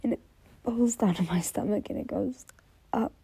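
A young woman speaking in an intimate, whispery monologue, halting and apologetic, anxious and close to tears. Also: fairly high pitch, mellow, no room sound. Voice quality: breathy, whispery voice. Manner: halting, apologetic, stammering repetitions. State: anxious, tearful, close to crying.

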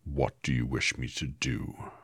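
A man voicing a stern, commanding wizard who appears as a giant head, speaking slowly and heavily in a deep, husky voice. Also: steady tone, a bit bright, no room sound, studio quality, heavily acted. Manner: slow, commanding, heavy.

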